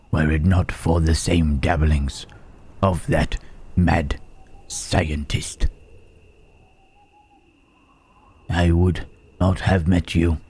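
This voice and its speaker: A man playing a gentle, melancholy Frankenstein's monster in an intimate ASMR roleplay, speaking haltingly and formally in a husky, breathy rasp. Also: extremely low pitch, heavily acted. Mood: wounded, tender. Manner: slow, halting, archaic formal phrasing with long pauses.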